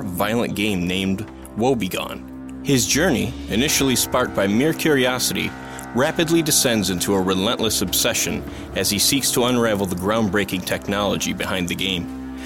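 Male podcast announcer, lower register, scripted promo read over clear music. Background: prominent music.

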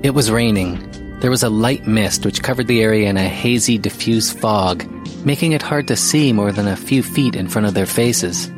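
An actor playing an investigative podcast host, narrating a mystery audio drama in a measured way with deliberate pauses. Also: male voice, fairly low pitch, close to an everyday voice. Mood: ominous, measured. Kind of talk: scripted audio drama narration, documentary style. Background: music.

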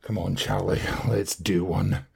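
A man voicing a nervous, glib, middle-aged male television producer who patters in a high, tittering voice. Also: level tone, measured pace, creaky, darkish tone, breathy edge, full character voice. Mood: brisk.

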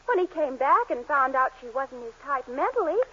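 An actress playing an earnest, gently persistent young American sweetheart in a 1940s radio sitcom. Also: female voice, high voice, big pitch swings, moderate pace. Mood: earnest, insistent. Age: young adult. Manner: earnest, emphatic, pleading. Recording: muffled 1940s radio recording.

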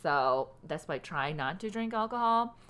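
A chatty woman co-hosting a podcast, her voice sitting a little low but swinging widely in pitch. She laughs often and drops the odd curse word in easy, loose conversation. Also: animated, moderate pace, a bit bright, clear, resonant tone. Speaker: podcast co-host. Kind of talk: casual chat.

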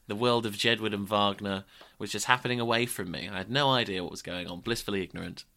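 Bright-voiced, laughing podcast co-host. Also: male voice, lowish voice, fast. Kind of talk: casual podcast chat.

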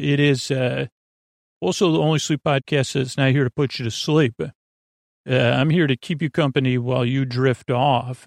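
A male podcast host delivers a rambling bedtime monologue in a voice full of creak and fry. He halts, doubles back and drifts into tangents, trailing off mid-thought. The sound is very dry, with no room around it. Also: medium pitch, no echo.

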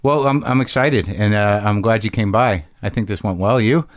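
A man's voice: on the low side, dry recording. Rambling fifty-year-old male podcast host. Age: 50s. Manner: rambling, halting with many restarts. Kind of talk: casual podcast chat and monologue. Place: podcast recording garage.

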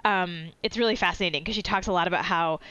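A woman's voice: on the low side, fast, fairly bright tone, smooth, dry recording. Chatty woman host, dull dry sound. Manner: chatty, rambling, many fillers and restarts. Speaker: podcast host. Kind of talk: interview conversation.

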